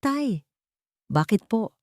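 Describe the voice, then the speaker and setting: A woman's voice: a little high, very animated, very slow, no room sound, studio quality, voice pushed up, exaggerated voice. A woman voicing a kind, respectful young peasant granddaughter in an audio story. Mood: worried. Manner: gentle, respectful.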